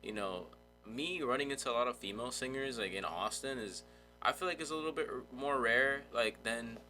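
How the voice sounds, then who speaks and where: A man's voice: mid-range voice, brisk, pushed and bright, soft background music. A young man hosting a podcast interview, chatting in an informal, slangy way. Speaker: podcast host. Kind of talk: casual chat.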